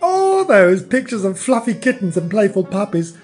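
Casting: domineering older mother for a comic audiobook, played by a man, high, lively, blunt and scolding. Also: moderate pace, faint music behind. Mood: scornful. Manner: brash, nagging, exclamatory.

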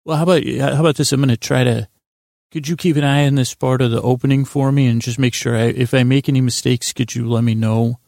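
A man hosting a sleep podcast in lulling, soothing tones, meandering and halting through a gently self-deprecating monologue. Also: mid-range voice, steady tone, vocal fry, no echo, studio quality. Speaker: podcast host.

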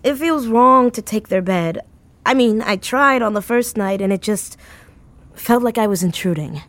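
Subdued, slightly higher-voiced anxious woman, played by an actress. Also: normal speed, natural voice. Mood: hesitant, weary. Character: grieving, anxious young woman, self-sacrificing, staying at family's house. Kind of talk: naturalistic audio drama acting.

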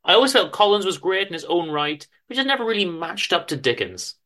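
A man's voice: sits high, very animated, brisk, bright, forceful tone, pitched above the performer's own voice, full character voice. A man voicing a friendly, eager young woman who loves books, polite and a little hesitant, in a story reading. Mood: friendly, keen.

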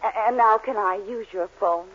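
A woman's voice: mid-range voice, normal speed, lightly acted. Sultry female swindler played by an actress, seductive and hard-boiled, muffled vintage radio sound with faint noise. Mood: sultry, flirtatious. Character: sultry scheming female con artist, flirtatious and hard-boiled. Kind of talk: 1940s radio comedy acting. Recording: muffled, faintly noisy 1940s radio recording.

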